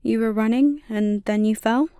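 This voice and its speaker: A woman voicing a gentle, caring slime monster girl with playful teasing, in a soft, intimate ASMR roleplay delivery. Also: a little high, normal speed, smooth, no echo, studio quality, close to an everyday voice. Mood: soothing concern.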